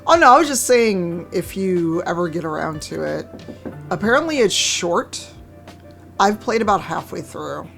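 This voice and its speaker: A woman streaming a game, chatting casually with a hoarse, croaky voice and a wry, chatty manner. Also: on the low side, expressive, a little slow, a bit bright, faint music behind. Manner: chatty, wry, reads chat aloud. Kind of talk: casual live-stream chat while gaming.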